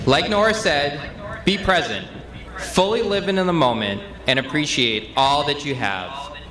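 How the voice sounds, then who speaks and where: A man's voice: on the high side, lively intonation, a little slow. Echoey man giving a commencement speech. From Massachusetts, United States. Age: 30s. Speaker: high school principal. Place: large graduation ceremony venue, amplified speech. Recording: echoey, noisy public address at ceremony.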